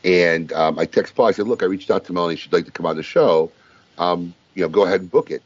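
A man's voice: sits low, fast, no room sound. A man co-hosting a talk show, telling stories in a casual, teasing banter. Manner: teasing storyteller. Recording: muffled lo-fi live stream audio.

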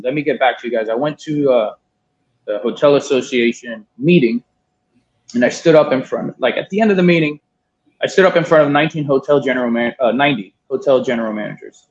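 A man in his thirties from Miami telling a long story in a casual interview, swearing now and then and quoting others. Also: mid-range voice. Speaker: guest, cigar importer. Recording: dull lo-fi sound.

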